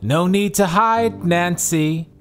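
A man's voice: high voice, slow pace, soft background music. A man playing a menacing, smug doctor villain in an audio horror drama, pompous and theatrical. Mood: smug, coaxing.

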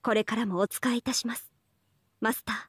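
A woman playing a devoted young half-oni samurai archer in a mobile game, speaking formal, reverent Japanese in a soft voice. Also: a little high, fairly even intonation, brisk, slightly rough, no room sound. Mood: grateful, humble. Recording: studio voice over game music.

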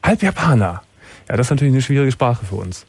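A middle-aged man hosting a late-night radio call-in, asking gentle, probing questions with soft affirmations. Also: medium pitch, lively intonation, fast, husky. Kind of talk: calm empathetic phone-in talk. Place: radio studio. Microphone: studio mic, wearing headphones.

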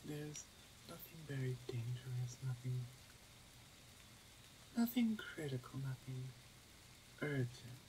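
Woman playing a low, gentle orc shaman. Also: a little hiss or hum, rough recording. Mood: calm, caring. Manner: soft, soothing, unhurried, breathy half-whispers. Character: gentle, protective older orc shaman woman, big sister. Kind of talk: ASMR roleplay acting.

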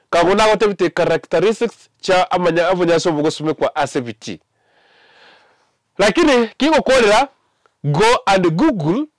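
A young Kenyan man with a Kenyan accent, giving animated casual commentary to camera from a small indoor studio, with many fillers and mixed languages. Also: high-pitched, dry recording. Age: young adult. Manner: animated, mixing English and Ekegusii, many fillers.

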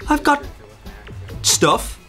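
An actor playing a put-upon shop clerk of thirty-three, sullen, defensive and easily riled, in an audio drama. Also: male voice, extremely slow, fairly bright tone, faint music behind. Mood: defensive, flustered.

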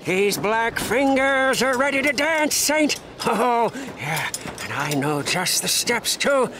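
An actor playing a manic, devout wasteland car mechanic in a video game, babbling and stammering with zealous reverence. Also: male voice, very high-pitched, sing-song, slow, background noise, exaggerated voice. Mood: gleeful, eager. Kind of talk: theatrical video game character acting.

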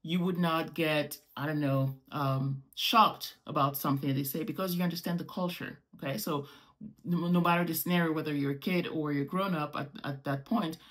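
Animated woman chatting to camera. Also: low voice, moderate pace. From Morocco. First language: French. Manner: animated, gestures with hands while explaining. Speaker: travel vlogger. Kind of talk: casual talk to camera. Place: small home room indoors.

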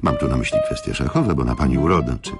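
An actor playing a suave, scheming older chess trainer in a radio drama, courtly and theatrical, with a gravelly, husky voice. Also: male voice, extremely low pitch, animated, fast talker, over loud music, exaggerated voice. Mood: persuasive, sly. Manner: courtly, theatrical, flowery phrasing. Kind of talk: Polish radio drama acting.

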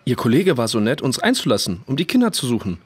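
An actor playing a calm but worried father in a children's audio drama. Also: medium pitch, fast talker, breathy edge, no room sound. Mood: calm, concerned.

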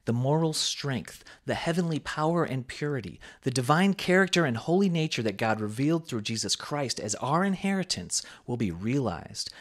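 A man reading a sermon aloud with a measured, emphatic preaching cadence. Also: mid-range voice.

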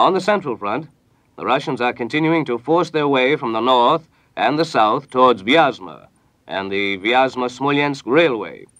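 A man's voice: medium pitch, fairly bright tone, no echo. American 1940s newsman in a dry studio. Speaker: radio news anchor. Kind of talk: 1940s radio news reading. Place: radio newsroom studio.

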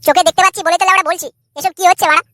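A man acts out a jealous, crude husband from a comedy cartoon. His voice is unnaturally high for a man and very fast, sneering and swearing sarcastically. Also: steady tone, bright, forceful tone, no room sound, faint music behind. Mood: outraged. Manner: foul-mouthed, sarcastic. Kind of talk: comic cartoon dialogue.

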